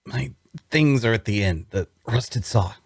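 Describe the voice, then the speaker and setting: A man's voice: fairly low pitch, expressive, measured pace, gravelly, husky, no echo, clean studio sound, heavily acted. A man playing a weary, wary wounded prisoner who also narrates his story in an audio drama table read. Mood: uneasy, weak.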